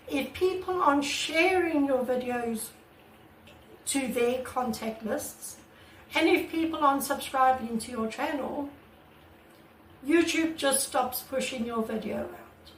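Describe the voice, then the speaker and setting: A woman artist with a croaky voice, talking casually and earnestly to her channel's viewers, recorded on a camera mic without a proper microphone. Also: high-pitched, distant mic, a little hiss or hum. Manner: earnest and repetitive, many restarts. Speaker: artist vlogger. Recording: echoey room, away from the mic.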